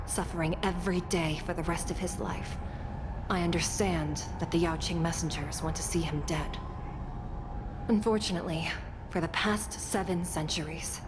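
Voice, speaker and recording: A woman voicing a stern, dutiful prison judge in a video game, speaking formally and gravely. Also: fairly low pitch, background noise, natural voice. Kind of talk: video game cutscene acting.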